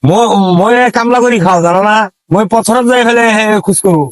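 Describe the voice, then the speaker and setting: A man's voice: high voice, fairly quick, no room sound. A man speaking as a villager in casual rustic conversation, hesitant, repeating himself and pleading. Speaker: villager pleading for a government latrine.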